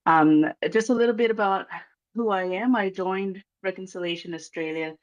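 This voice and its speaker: A speaker from Malaysia with a Malaysian accent, giving an earnest, measured address over a video call, pausing often with um. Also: mid-range voice, lo-fi sound. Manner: earnest, measured, many um pauses. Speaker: guest speaker from a reconciliation organization. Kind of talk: formal address at a launch event.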